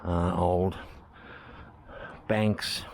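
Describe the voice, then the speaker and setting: A man's voice: low-pitched, level tone, drawn-out words, vocal fry, a little hiss or hum. An Australian man chatting casually to camera while walking around a historic village, thinking aloud as he goes. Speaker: travel vlogger. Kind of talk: casual walking tour commentary.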